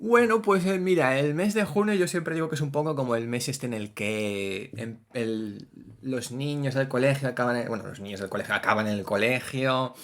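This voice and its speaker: A man chats casually on a podcast, lively and playful. His voice sits at a middle pitch for a man. He exclaims often and jokes with his co-host. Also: normal speed. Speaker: podcast co-host, theatre director. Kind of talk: casual chat.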